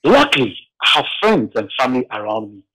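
A man from Ghana, calling in to a radio show by phone and telling his story haltingly, with restarts. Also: mid-range voice, huge pitch swings, bright, forceful tone, rough recording. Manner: heavy, halting account with restarts. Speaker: caller.

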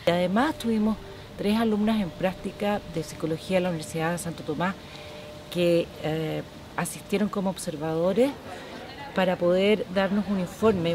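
A middle-aged woman, explaining how a programme was run, in an interview. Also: on the low side. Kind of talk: documentary interview testimony. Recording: clear background hubbub of people.

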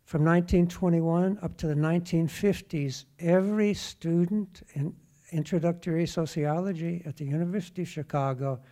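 A man makes a prepared comment at a question session. His voice is mellow and a little higher than average, and his delivery is deliberate, didactic and emphatic. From Norway. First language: English. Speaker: audience questioner, teacher. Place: lecture theatre audience.

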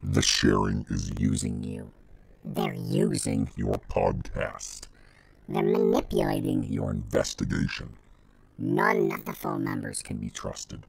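A man playing an anonymous hacker informant who gives grim, deliberate warnings in an audio drama. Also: on the high side, wildly swooping pitch, creaky, slight background noise, full character voice. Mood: ominous warning. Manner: slow, deliberate, ominous.

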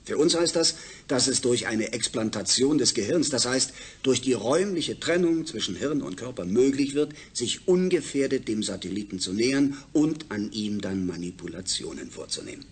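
An actor playing an assured space project chief in a radio drama, explaining things formally like a lecturer. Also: male voice, mid-range voice, animated, normal speed, breathy edge. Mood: assured, explanatory. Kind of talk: German science fiction radio drama acting.

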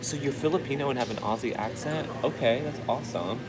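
A man's voice: mid-range voice, over music. A young man chatting and gushing during a live eating stream, filmed on a phone in a restaurant. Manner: chatty, gushing, quick to gasp and laugh. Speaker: vlogger. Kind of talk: casual live-stream chat while eating. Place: indoor restaurant with high ceiling. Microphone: phone camera close up. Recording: loud restaurant background noise.

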